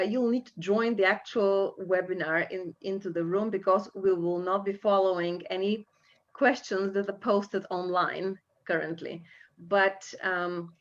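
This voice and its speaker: Woman running a webinar on a video call, lots of ums, muffled lo-fi sound. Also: medium pitch. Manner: hesitant, many fillers. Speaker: webinar host and coach trainer. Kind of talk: live webinar talk. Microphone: computer mic on video call. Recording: dull lo-fi call audio.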